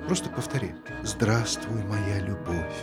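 Casting: an actor as a low-voiced, gentle man, soft and persuasive, music playing behind him in a stage play. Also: a little slow, close to an everyday voice. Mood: tender. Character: calm, earnest man, later a taciturn father.

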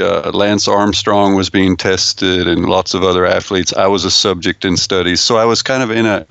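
Low gravelly man, dry dull sound. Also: fairly bright tone, dry recording. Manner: thoughtful, with frequent restarts and small laughs. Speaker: sports science professor, interview guest. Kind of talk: relaxed interview answers.

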